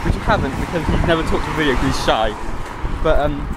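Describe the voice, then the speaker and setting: A young man with a slight Gloucestershire accent, rambling in a giggly, easily distracted way while filming himself on a dark street at night. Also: on the high side, fast. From England. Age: young adult. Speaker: vlogger. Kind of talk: rambling casual vlog. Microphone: phone held at arm's length. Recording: loud wind noise on phone mic.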